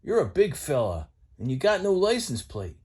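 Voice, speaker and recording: A man's voice: on the high side, wildly swooping pitch, moderate pace, darkish tone, husky, pitched above the performer's own voice, full character voice. A man voicing a folksy old male tow truck with a twangy country drawl.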